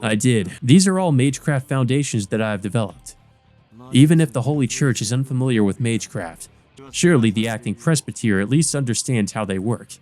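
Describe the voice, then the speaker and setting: A man's voice: mid-range voice, animated, a little fast, darkish tone, close to an everyday voice. A haughty, scholarly vampire villain from a visual novel, acted out by a man, speaks in a mid-range voice. His manner is formal, cold and contemptuous, with music behind him. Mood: smug. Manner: formal, disdainful.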